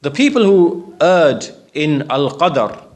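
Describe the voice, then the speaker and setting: A man's voice: fairly high pitch, sing-song, slow pace. A man teaching in an explanatory religious lecture, speaking didactically and checking that listeners follow with questions.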